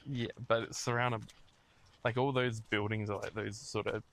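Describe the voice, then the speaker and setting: A man's voice: fairly low pitch, level tone, normal speed, fairly bright tone. A man co-hosting a podcast on a road trip, talking casually in tentative, half-finished sentences. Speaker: podcast co-host. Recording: clear vehicle and outdoor background noise.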